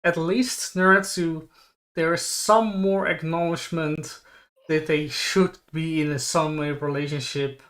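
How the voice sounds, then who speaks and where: A man casually recapping anime as a livestream co-host, speaking haltingly and often repeating his words. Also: on the high side, steady tone, fairly bright tone.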